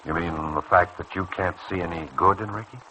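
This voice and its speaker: A man playing a kindly, principled senior doctor in a mid-century radio soap opera, speaking in a measured, earnest way. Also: sits low, fairly even intonation, bright, forceful tone. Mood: earnest, concerned. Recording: faintly noisy old radio recording.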